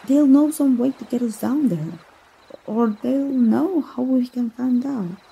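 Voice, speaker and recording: A woman acting as a tender young princess in a romantic ASMR roleplay. Her voice is very breathy and soft, with a dark, mellow tone. She speaks slowly and haltingly, full of awe and love. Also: a little high, heavily acted, low-quality recording. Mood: tender, determined. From Mexico. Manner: halting, emotive, with gasps and sighs. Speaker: voice actor.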